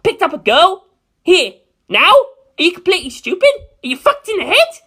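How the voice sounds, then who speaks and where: A woman voicing a brash, cocky, foul-mouthed young man who yells and jeers, in a story reading. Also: very high-pitched, very animated, moderate pace, pitched above the performer's own voice, full character voice. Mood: jeering. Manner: yelling, jeering, cocky.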